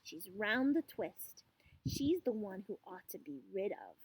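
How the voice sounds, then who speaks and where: A woman voicing a mild, polite female schoolteacher, quietly disapproving and hesitant, in a storybook reading. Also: mid-range voice, very animated, a little slow, breathy edge, no room sound, low-quality recording. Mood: muttered dismay.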